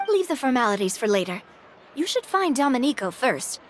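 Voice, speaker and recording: An actress playing a helpful, practical informant in a video game, informal and matter-of-fact. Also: female voice, high voice, sing-song, brisk, slight background noise.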